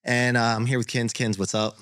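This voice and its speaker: A man's voice: medium pitch, fairly even intonation, a little fast, fairly bright tone, slight background noise. A man hosting a podcast in a studio. He chats casually and encourages his guest, often talking in long run-on stretches. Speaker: podcast host. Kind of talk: casual interview chat.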